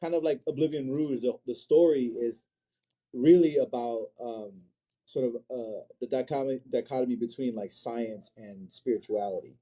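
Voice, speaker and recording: A man from Africa chatting casually as an interview guest, thinking aloud in a halting, word-repeating way. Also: mid-range voice, mellow. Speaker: manga creator, podcast guest.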